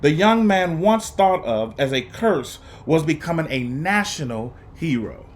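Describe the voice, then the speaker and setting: Man, higher-pitched voice, very animated intonation, earnest storybook reader, emphatic phrasing. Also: unhurried, a little hiss or hum. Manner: earnest, encouraging. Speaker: guest reader for students. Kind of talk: expressive children's storybook read-aloud.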